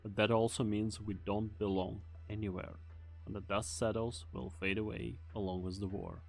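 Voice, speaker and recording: Guy voicing a serious merc captain, gravelly and measured, fan dub style game acting, music behind. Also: fairly low pitch, flat delivery. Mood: grave, resolute. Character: grave, calculating male mercenary team leader.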